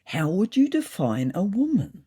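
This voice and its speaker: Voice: medium pitch, big pitch swings, measured pace, mellow, breathy edge, pitched above the performer's own voice. A narrator acting a wry, probing male reporter. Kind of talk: audio storybook character voice.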